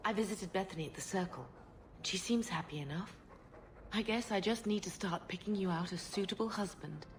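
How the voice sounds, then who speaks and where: A woman voicing a genteel, wistful middle-aged mother in a video game, speaking in a gentle, reflective way. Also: medium pitch, fairly quick, lightly acted. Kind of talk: video game dialogue acting.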